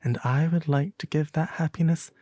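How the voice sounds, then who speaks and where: A man voicing an aristocratic male demon prince, pompous and refined, with soft, sometimes breathy, drawn-out delivery in an ASMR roleplay reading. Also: on the high side, fairly even intonation, dry recording, studio quality, close to an everyday voice. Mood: grand, gracious.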